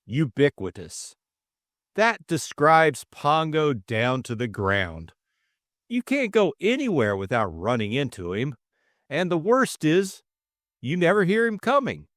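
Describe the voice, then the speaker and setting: A man voicing a flippant young gentleman sleuth in an audiobook, glib, drawling and teasing. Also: on the high side, sing-song, slow pace, no room sound, studio quality, voice pushed up, heavily acted. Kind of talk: audiobook character acting.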